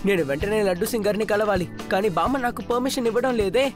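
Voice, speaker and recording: A woman's voice: mid-range voice, quick pace, over music, lightly acted. A woman playing an earnest, confident young boy hero in a Telugu cartoon dub. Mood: eager, determined. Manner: earnest, urgent, determined.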